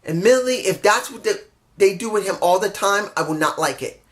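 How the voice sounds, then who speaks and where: A man's voice: sits high, lively intonation, brisk, fairly bright tone. Stuffy man with a head cold, rambling. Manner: rambling, keeps correcting himself and apologizing for mix-ups; grumbling, frustrated asides. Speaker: wrestling show reviewer. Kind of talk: casual solo review monologue.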